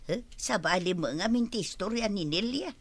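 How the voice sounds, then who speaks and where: A woman's voice: medium pitch, animated, moderate pace, dry recording. A woman playing a chatty, gossip-loving older neighbour with a confiding manner and an Ilocano accent in a radio drama. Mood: gossipy. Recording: noticeable background noise.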